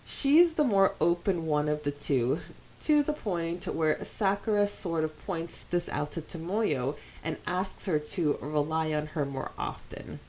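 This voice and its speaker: A woman with a slightly low voice co-hosts a fan podcast. She moves between casual chat and reading listener comments aloud. Her delivery is giggly and enthusiastic. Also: animated, a little slow, warm tone, poor audio. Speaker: podcast co-host.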